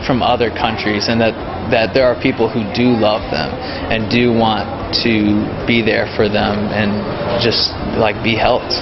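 A man giving a heartfelt, hesitant interview answer with many fillers. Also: fairly low pitch. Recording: muffled, with crowd and noise behind.

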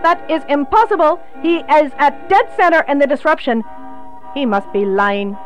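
A woman's voice: sits high, expressive, normal speed, soft background music. A woman acting in a radio play as a formal, insistent saleswoman and interrogator with stilted phrasing. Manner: formal, stilted, avoiding contractions.

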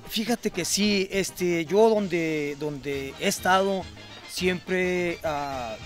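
A man from Jalisco, Mexico, a singer answering interview questions outdoors in a hesitant, halting way. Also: high voice, faint music behind. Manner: hesitant, halting, many restarts. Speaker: singer guest. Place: outdoor fairground patio.

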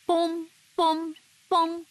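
A woman reading picture books aloud to babies in a gentle, sing-song way, dwelling on playful sound words. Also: very high-pitched, completely flat delivery, moderate pace. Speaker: storybook narrator voicing an owl. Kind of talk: children's picture book read-aloud.

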